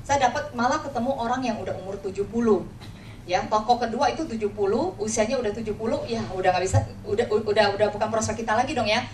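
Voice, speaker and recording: A woman's voice: a little high, fast talker. A woman with a Jakarta Indonesian accent gives an informal motivational talk as an agency leader, telling personal anecdotes conversationally and often checking for agreement. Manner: conversational, acts out quoted dialogue, frequent tag words seeking agreement. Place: indoor room before an audience. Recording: echoey room, distant mic, noisy background.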